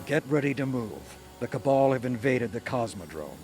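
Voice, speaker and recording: A man's voice: medium pitch, very animated, moderate pace, a little mellow, soft background music, close to an everyday voice. A man voicing a stern military commander, giving firm, urgent orders in a video game mission briefing. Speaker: game character.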